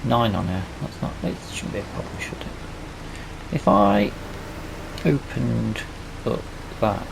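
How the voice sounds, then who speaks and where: A man's voice: medium pitch, takes it slowly. A British man giving casual let's play commentary, thinking aloud and asking himself questions as he goes. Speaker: gaming video host. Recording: clear steady background noise.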